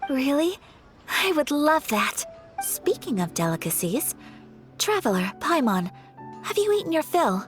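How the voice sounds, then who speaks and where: A woman's voice: sits high, sing-song, faint music behind. A woman voicing a gracious, refined young noblewoman in a video game, speaking formally and politely. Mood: polite, interested. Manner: formal, polite, measured phrasing.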